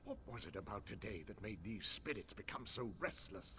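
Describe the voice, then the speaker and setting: An actor playing a scholarly, impatient fantasy-game mage who muses pedantically and talks down to people. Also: male voice, fairly low pitch, animated, fairly quick, pushed and bright, slightly rough, heavily acted. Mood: musing. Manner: pedantic, musing, condescending. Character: scholarly elf mage, fascinated by spirits, impatient. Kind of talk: video game character acting.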